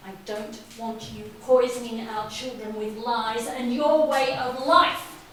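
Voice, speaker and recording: A woman's voice: fairly high pitch, fairly even intonation, measured pace, natural voice. A woman acting a scornful, domineering wife in a stage drama, with a cutting, sneering delivery. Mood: accusing. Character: scornful, domineering wife and hostile sister-in-law. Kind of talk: amateur stage play acting. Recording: echoey room from far off, faint noise.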